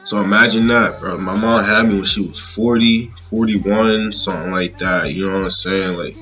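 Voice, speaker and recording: Male, 30, casual personal vlog, halting and rambling delivery, lowish voice, dull lo-fi sound. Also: fairly even intonation, bright, forceful tone. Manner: rambling, halting, often checking in with "you know what I'm saying". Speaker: vlogger. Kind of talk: casual personal monologue to viewers.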